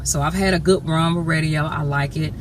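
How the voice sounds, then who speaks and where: A woman from with a flat voice, a radio DJ telling her story bluntly, cursing freely in a casual interview. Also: sits low, a little fast. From Chicago. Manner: blunt, profane, animated storytelling. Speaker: radio DJ guest. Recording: noisy background.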